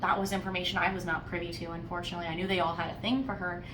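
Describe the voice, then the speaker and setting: A woman giving a casual, chatty book review, animated and playfully wry as she tells it. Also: on the low side, very fast. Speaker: host of a book discussion podcast. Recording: roomy echo, distant mic, faint noise.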